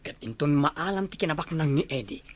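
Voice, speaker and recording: A man's voice: fairly high pitch, expressive, a little fast. Insistent, eager man played by an actor. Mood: anxious. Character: eager, insistent family friend named Adrian in melodrama. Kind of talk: radio-style drama acting.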